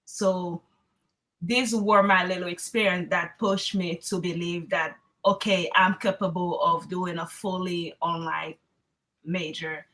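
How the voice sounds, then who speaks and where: Woman, 20s, from Haiti; interview guest, candid and encouraging, bright tone. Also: lowish voice, fairly even intonation, a little slow, poor audio. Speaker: online student guest. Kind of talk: interview answers.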